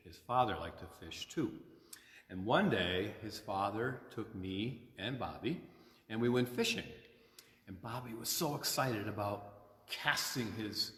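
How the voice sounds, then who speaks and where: Older man, low voice in an echoey church. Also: a little slow. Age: 60s. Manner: gentle, simple explaining with check-in questions. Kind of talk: informal children's storytime talk. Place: inside a church. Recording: echoey, long reverb of a church.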